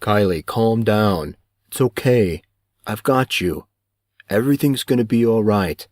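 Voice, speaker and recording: A man doing a big, gentle teenage boy. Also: fairly low pitch, animated, unhurried, no echo, clean studio sound. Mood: soothing. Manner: soothing, reassuring.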